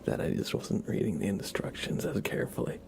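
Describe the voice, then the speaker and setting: A man speaking in a soft, gravelly whisper, chatting casually and gently as an ASMR live streamer greeting viewers. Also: a little fast. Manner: gentle, rambling chat with viewers and pet. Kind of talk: ASMR livestream casual chat.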